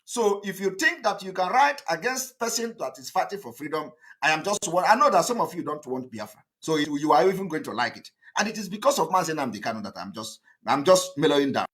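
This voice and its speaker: A man's voice: fairly high pitch, sing-song, quick pace, hardly any pauses, a bit bright. A man with a Nigerian accent giving an impassioned political monologue, emphatic and defiant, often repeating his phrases. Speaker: political activist broadcaster.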